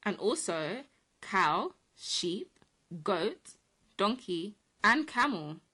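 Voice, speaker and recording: A woman's voice: mid-range voice, big pitch swings, drawn-out words, pushed and bright, breathy edge. A woman co-hosting a children's program, talking in a playful, singsong way.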